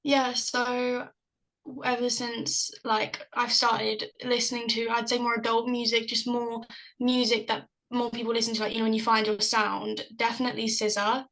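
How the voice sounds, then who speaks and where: Young south london girl on a video call, high-ish voice with a gravelly edge, chatty and giggly. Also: monotone, normal speed, a bit bright, low-quality recording. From South London, England. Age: young adult. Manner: chatty, giggly, lots of "like" fillers. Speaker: singer-songwriter guest. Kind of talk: casual interview chat.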